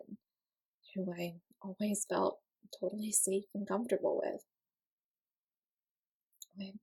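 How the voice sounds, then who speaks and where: A woman acts out an awkward young woman opening up to a friend in an intimate audio roleplay. She talks casually and slowly, with hesitations and self-deprecating, sarcastic wit. The voice is slightly husky and noticeably creaky. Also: medium pitch, dark tone, rough recording.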